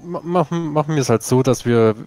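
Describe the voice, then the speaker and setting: A man playing a tabletop role-playing game in a casual, halting way. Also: a little high, darkish tone, no echo, soft background music. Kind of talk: casual tabletop role-play, partly in character.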